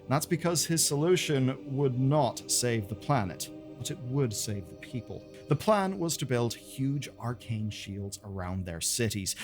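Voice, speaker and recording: A man presenting game lore as dramatic scripted narration, with pointed pauses and colloquial asides. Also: medium pitch, gravelly.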